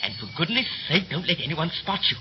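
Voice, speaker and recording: An actor playing a brisk, bossy young ship's officer in a 1930s radio play, with a clipped, commanding, theatrical manner. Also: male voice, on the high side, big pitch swings. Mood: fond, hurried. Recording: muffled, noisy 1930s radio recording.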